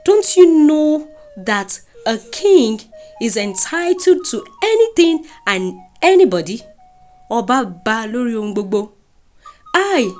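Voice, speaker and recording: A woman's voice: a little high, darkish tone. A woman voicing a brutal, boastful warrior king in a folktale, with a Nigerian accent and a pompous, commanding manner. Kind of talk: animated folktale storytelling.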